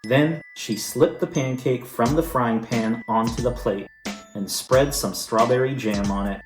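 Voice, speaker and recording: A teacher reading a picture book aloud, taking his time over every line. His voice sits at a middle pitch. The room sounds roomy, and music plays behind him. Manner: slow, deliberate storytelling. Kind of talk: storybook read-aloud.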